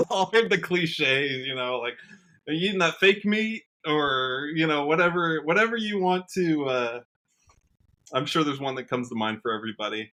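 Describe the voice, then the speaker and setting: An American man chatting casually as an interview guest, earnest and halting, often rephrasing as he goes. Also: on the high side, lively intonation, bright, forceful tone. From Washington state, USA. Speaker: podcast guest, country singer.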